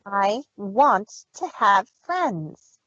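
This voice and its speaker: A man's voice: high voice, sing-song, extremely slow, pushed and bright, no room sound, dull audio, voice pushed up, full character voice. A man voicing an earnest, wounded young female pony in a storybook reading, pleading yet defiant.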